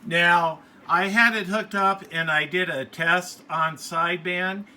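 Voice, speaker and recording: A man hosting a hobbyist video, talking casually through a product review in a plainspoken, halting, matter-of-fact way. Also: sits high, steady tone. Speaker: radio hobbyist video host. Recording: faint background noise.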